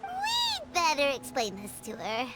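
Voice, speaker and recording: A tiny squeaky fairy companion from a video game. The childlike voice sits extremely high, jumps around animatedly and frets easily, over clearly audible music. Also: female voice, huge pitch swings, unhurried, heavily acted. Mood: dismayed. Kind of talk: English video game voice acting.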